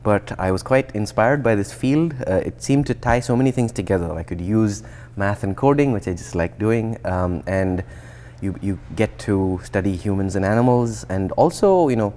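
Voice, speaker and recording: Low-voiced man in his thirties, thoughtful. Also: expressive, normal speed, few pauses, dark tone. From India. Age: 30s. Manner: thoughtful, with frequent restarts and fillers. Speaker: guest researcher. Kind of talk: conversational interview. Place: indoor atrium with plants and glass. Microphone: lapel.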